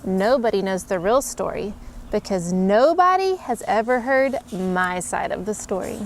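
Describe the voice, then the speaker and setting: A woman, a mother, reading a picture book aloud to her children in an animated, expressive way with playful emphasis. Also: medium pitch, unhurried, slight background noise. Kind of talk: storybook read-aloud.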